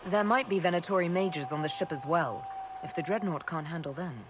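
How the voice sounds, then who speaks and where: An actress playing a composed elf mage leader in a video game, questioning and self-assured. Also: female voice, on the low side, brisk, background noise, close to an everyday voice.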